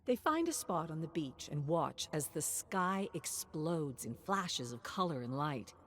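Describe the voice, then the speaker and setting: A woman reading scripted dramatic fiction in a measured, descriptive storytelling style. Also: sits low, big pitch swings. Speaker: narrator. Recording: clear background noise, ambience.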